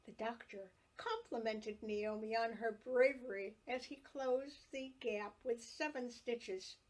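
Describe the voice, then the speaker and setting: A woman reads her own childhood memoir aloud at a slow, careful pace. Her voice sits a little high, and she lets each scene unfold with expressive storytelling. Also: rough recording. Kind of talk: reading a family memoir aloud.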